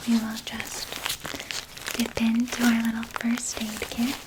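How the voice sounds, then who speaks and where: A woman playing a vampire nurse in an ASMR roleplay, whispering breathily and coddling the listener with quiet menace. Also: on the high side, monotone, heavy background noise, lightly acted. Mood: gentle, matter-of-fact. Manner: slow, soothing, coddling with veiled threat. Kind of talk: ASMR roleplay, personal attention.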